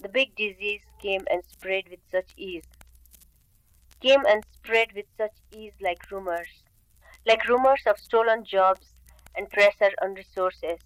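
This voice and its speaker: An actress playing a sombre woman poet who recites measured, repeating verse in a radio play. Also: mid-range voice, no room sound, close to an everyday voice, low-quality recording. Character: sombre woman poet reflecting on fear and blame.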